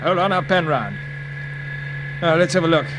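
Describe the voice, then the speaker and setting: An actor playing a steady, practical spaceship crewman, plain-spoken and matter-of-fact, in 1950s radio drama style. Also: fairly high pitch, normal speed, natural voice. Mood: intent, assured. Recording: noisy, humming old radio recording.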